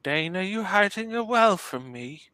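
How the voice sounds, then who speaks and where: A man's voice: sits high, animated, moderate pace, bright, forceful tone, clear, resonant tone. A man with a Danish accent chatting over a call, hesitant and giggly, often restarting his sentences. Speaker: client commissioning a map. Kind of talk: casual chat.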